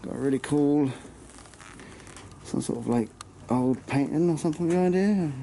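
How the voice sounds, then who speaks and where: A man's voice: a little high, normal speed, gravelly, dark tone. A young man with an English accent narrating his walk through an abandoned building, joking crudely and swearing often. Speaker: urban explorer vlogger. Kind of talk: casual exploring commentary. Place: dark abandoned burnt-out building, indoors. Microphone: handheld camera. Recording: noisy handheld camera audio.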